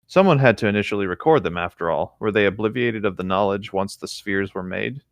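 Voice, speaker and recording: Male co-host, lowish voice, relaxed read-aloud with conversational asides, recorded dry. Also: a little fast, dry recording. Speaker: co-host reading fan fiction aloud. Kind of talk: casual read-aloud with side chat.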